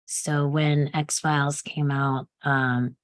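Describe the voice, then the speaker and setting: Woman with a strikingly low voice, conversational and thoughtful, dry recording. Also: flat delivery, extremely slow, no echo. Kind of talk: casual group discussion.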